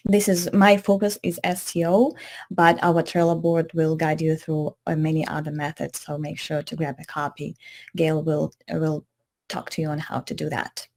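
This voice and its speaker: A woman with a Ukrainian accent walks listeners through a marketing webinar, her voice sitting a little low. She explains things patiently, pausing to rephrase and correct herself as she goes. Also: level tone, a little mellow. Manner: patient, explanatory, hesitant with frequent self-corrections. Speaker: webinar presenter. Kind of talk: instructional webinar talk over slides.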